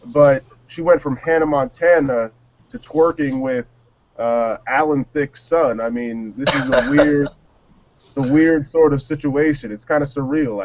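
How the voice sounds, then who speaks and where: A man from New Jersey giving casual, wry, blunt opinions as a panelist. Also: medium pitch. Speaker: talk show panelist. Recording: dull lo-fi sound.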